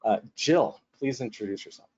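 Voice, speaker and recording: A man's voice: mid-range voice, lively intonation, moderate pace, a little mellow, husky. Joking man hosting a webinar over a call. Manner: joking, rambling with frequent fillers. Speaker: webinar host and moderator. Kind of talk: casual, chatty webinar hosting. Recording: slightly muffled webinar audio.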